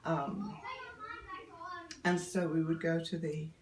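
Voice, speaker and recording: An older woman, telling stories in a relaxed interview, often laughing and pausing with ums. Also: sits low, background noise. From Yorkshire, England. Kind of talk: casual storytelling interview.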